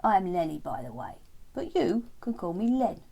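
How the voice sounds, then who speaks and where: A woman voicing a mischievous male frog in a children's story, brash and teasing with colloquial, drawn-out words. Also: fairly low pitch, sing-song, vocal fry, mellow, slightly rough, full character voice. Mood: friendly, breezy.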